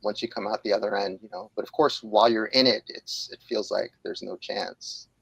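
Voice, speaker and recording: A New York man in his late forties talks openly on a podcast, thinking aloud with plenty of pauses and fillers. His voice carries a gravelly texture and sits at an ordinary pitch. The sound is close and dry, with no room around it. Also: fairly even intonation, a bit bright, no room sound, poor audio. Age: 40s. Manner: candid, reflective, hesitant with fillers. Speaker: guest, jiu-jitsu instructor and author. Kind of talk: podcast interview.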